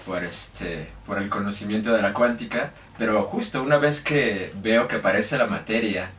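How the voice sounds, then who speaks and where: Low-voiced Mexican man in an echoey room. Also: normal speed, a bit bright. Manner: hesitant, many fillers and restarts. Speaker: guest. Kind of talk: casual interview talk. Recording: echoey room, mic at a distance, faint noise.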